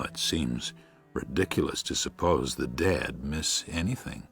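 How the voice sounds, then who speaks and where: A man playing a gentle, reflective preacher of seventy-six in a first-person audiobook, with a measured, husky, aged voice. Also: a bass voice, full character voice. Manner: measured, tender, musing. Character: gentle, reflective 76-year-old Midwestern preacher writing to his son. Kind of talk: first-person audiobook narration with acted dialogue.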